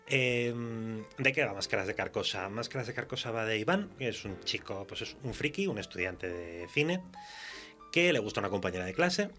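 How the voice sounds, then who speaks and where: A man with an accent from Spain speaking as a guest author in a conversational radio interview, halting as he thinks aloud. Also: fairly low pitch, animated, sharp tone, faint music behind.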